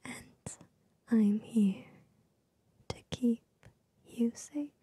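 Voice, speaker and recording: A young woman giving soothing ASMR affirmations in a soft, breathy whisper, gentle and repetitive, very close to the microphone. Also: on the high side, drawn-out words, no echo. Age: young adult. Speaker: ASMR host. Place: small bedroom with gaming setup, indoors. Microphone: large microphone very close to the mouth.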